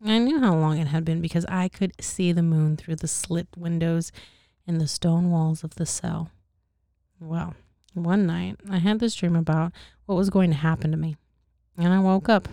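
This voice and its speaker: A woman's voice: fairly low pitch, fairly even intonation, normal speed, warm tone, no room sound, studio quality, lightly acted. A woman voicing a bitter, tormented count condemned to hell, telling his story in an aggrieved, vulgar, conversational way. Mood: grim recounting. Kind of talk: dramatic audiobook-style reading.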